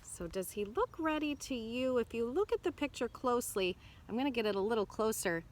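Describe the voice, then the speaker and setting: A woman's voice: on the high side, expressive, brisk. A woman teacher reading a picture book aloud to children expressively, with instructive asides and questions to check in.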